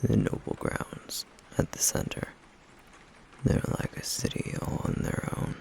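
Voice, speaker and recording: A man playing a stoic, guarded flame demon in an ASMR roleplay, in a breathy, gravelly near-whisper with halting, measured pauses. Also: a little mellow, close to an everyday voice. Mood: somber, explaining.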